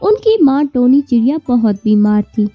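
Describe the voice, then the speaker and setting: A woman's voice: a little high, sing-song, moderate pace, warm tone. A woman narrating a children's cartoon story as a scripted storyteller. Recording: muffled, distant-sounding, music behind.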